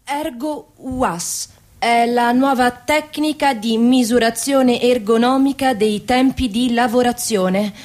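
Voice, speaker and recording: A woman playing an earnest, hesitant factory worker as a quiz contestant, explaining haltingly in a satirical radio play. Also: fairly high pitch, darkish tone.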